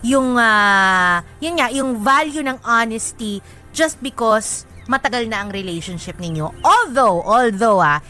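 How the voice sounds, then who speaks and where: A woman's voice: fairly high pitch, expressive, a little slow, sharp tone, faint music behind. A woman hosting a radio advice segment in casual, playful banter, joking and switching between languages. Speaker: radio show host giving advice.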